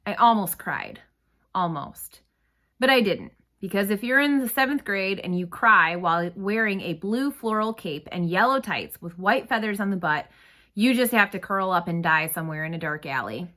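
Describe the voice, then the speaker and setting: A woman reads a story aloud as narrator, with expressive and dramatic phrasing. Her voice is bright and forceful, sitting at a medium pitch. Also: moderate pace.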